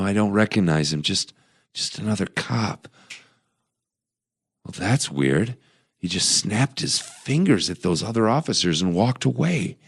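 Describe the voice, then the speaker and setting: An actor playing an uneasy, reclusive record collector in a horror audio drama, hesitant and muttering to himself. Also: male voice, low-pitched, gravelly, husky, heavily acted.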